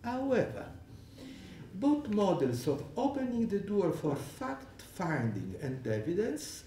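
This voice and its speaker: Italian man with a lively, halting delivery. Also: high-pitched, a little hiss or hum. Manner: halting, many restarts and fillers. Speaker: panel speaker on law. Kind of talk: academic conference talk. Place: lecture hall. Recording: echoey hall, distant mic.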